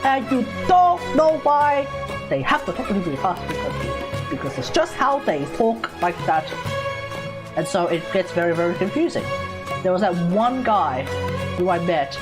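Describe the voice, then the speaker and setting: A woman's voice: lowish voice, sing-song, moderate pace, over music. Chatty Australian woman streaming. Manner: chatty, rambling, repeats herself. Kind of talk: casual livestream chat while gaming.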